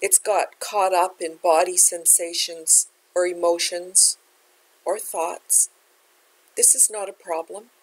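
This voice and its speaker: A woman leading a guided meditation as a teacher, speaking in a gentle, unhurried, measured way. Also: lowish voice, breathy edge, low-quality recording.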